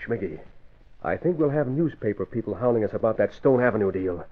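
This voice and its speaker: A man's voice: on the low side, expressive, brisk. Curt shady businessman, tinny audio. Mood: uneasy, ordering. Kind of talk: old-time radio drama acting. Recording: tinny vintage radio recording.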